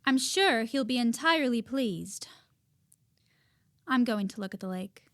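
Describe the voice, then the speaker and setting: A woman's voice: a little high, expressive, a little slow, a bit bright. A woman acting an eager, proud and lovelorn young woman in a period radio drama, breezy yet formal. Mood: uneasy, indignant.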